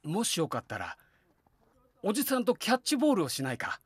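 A man's voice: high voice, wildly swooping pitch, brisk, slightly rough, no room sound, heavily acted. A man playing a kindly, wistful middle-aged family man in a Japanese voice drama, both narrating reflectively and talking in dialogue. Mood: wistful, gentle. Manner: reflective storytelling alternating with hearty, coaxing dialogue.

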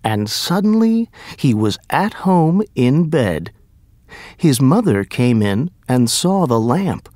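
A male narrator tells a story very slowly and carefully. His pitch moves in a lively way, and the recording is dry. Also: no room sound. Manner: careful, deliberate. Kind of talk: scripted storytelling for English learners.

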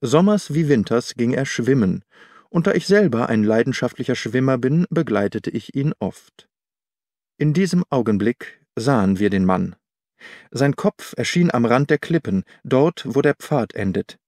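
A man narrates a literary audiobook in a measured, articulate way. His voice sits at a middling pitch, and the recording is dry, with hardly any room around it. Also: expressive, darkish tone, no room sound. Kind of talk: scripted literary narration, first-person storytelling.

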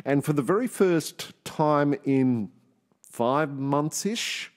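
A man's voice: fairly high pitch, animated, takes it slowly. A middle-aged man with an Australian accent co-hosting a podcast, chatty, repeating lines for emphasis and laughing often. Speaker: podcast co-host. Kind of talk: casual chat.

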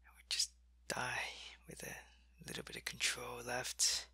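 A feverish alien changeling soldier, voiced by a man for an ASMR roleplay, loyal to his queen and weak from illness. He talks slowly, in a soft, gravelly, husky half-whisper, with a bright, sharp edge to the tone, and the recording is close and dry. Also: mid-range voice, big pitch swings, no echo, exaggerated voice. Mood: weary, ill. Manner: soft, weary, often whispering. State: sick and weak, in pain.

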